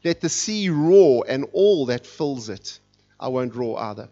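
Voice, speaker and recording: A man's voice: unhurried, soft background music. A middle-aged man preaching as a guest in a church, talking with energy and reading scripture with rising force. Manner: energetic, fired up, reads scripture with rising intensity. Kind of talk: sermon. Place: church sanctuary. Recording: dull, muffled low-fidelity sound.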